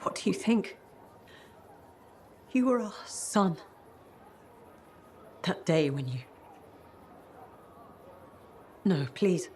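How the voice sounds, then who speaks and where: A woman's voice: on the low side, very animated, takes it slowly, darkish tone, slight background noise. An actress playing a confused, grieving middle-aged mother in a video game, wistful and hesitant. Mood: shaken. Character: fragile, confused, grieving older widowed mother. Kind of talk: video game dramatic acting.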